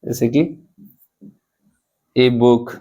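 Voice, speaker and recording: A man teaching a grammar lesson, explaining rules slowly and spelling out examples, often asking the students questions. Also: medium pitch, monotone, mellow, dull audio. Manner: slow, deliberate, repeats and spells out examples.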